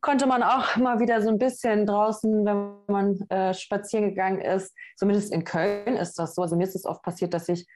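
A woman's voice: mid-range voice, steady tone, a little fast, few pauses, darkish tone, soft background music. Young woman co-host, casual and enthusiastic, lots of fillers. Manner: chatty, enthusiastic, many fillers. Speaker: podcast co-host. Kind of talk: casual podcast chat.

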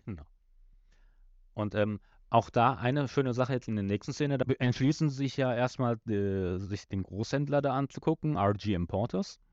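A man's voice: medium pitch, normal speed, darkish tone, dry recording. Guy chatting on a podcast, kinda searching for words, dry sound, bit lo-fi. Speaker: podcast host. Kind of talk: casual discussion of an audio drama episode. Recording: slightly dull, lo-fi sound.

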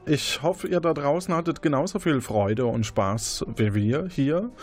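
A male host wrapping up the episode in a relaxed way, with many fillers. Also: on the high side, unhurried, faint music behind. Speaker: podcast host. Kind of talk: casual wrap-up talk.

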